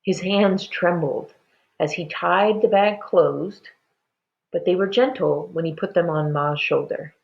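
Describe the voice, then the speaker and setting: A woman reading a children's story aloud in an expressive, dramatic, deliberate way. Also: on the low side, creaky, highs cut off.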